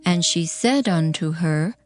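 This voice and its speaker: A woman narrator reading scripture in archaic English, formal and measured. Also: fairly low pitch, lively intonation. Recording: faint music behind the voice.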